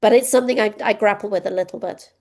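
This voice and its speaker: A woman's voice: mid-range voice, fast, mellow. A woman giving a keynote, answering a question over a video call in a reflective, thinking-aloud way. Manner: reflective, self-critical, thinks aloud with ums. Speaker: keynote speaker.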